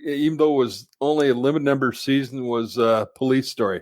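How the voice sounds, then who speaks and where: A man chatting on a podcast, halting and repeating words as he talks. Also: medium pitch, fairly even intonation, no echo. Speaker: podcast guest. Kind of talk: casual chat.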